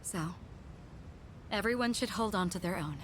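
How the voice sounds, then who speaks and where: A woman playing a composed, formal young leader in a video game, speaking in a measured, authoritative manner. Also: medium pitch, a little hiss or hum, lightly acted. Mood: composed, resolute. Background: game music.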